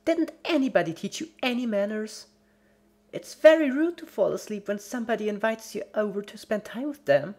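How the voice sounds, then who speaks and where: Crazy cheerful cartoon pony villain, super high bubbly voice, chatty n scolding like a brat. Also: female voice, lively intonation, moderate pace, darkish tone. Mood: pouty, indignant.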